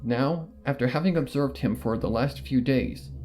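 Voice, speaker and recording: A man with a mid-range voice reads a story aloud in the first person, steady and measured. Faint music runs underneath. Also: medium pitch. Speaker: story narrator.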